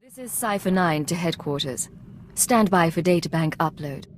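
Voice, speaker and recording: An actress playing a composed, commanding intelligence agent in a video game, with a clipped, businesslike manner. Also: female voice, lowish voice, normal speed, a little hiss or hum, natural voice.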